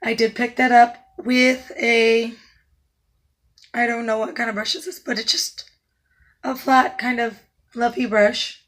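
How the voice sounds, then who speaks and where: A woman makeup artist talking through a tutorial step by step, her naturally raspy voice hoarse and breaking in and out, calm and instructive with soft asides while she works. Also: on the high side, unhurried, pauses often. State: hoarse, voice going in and out.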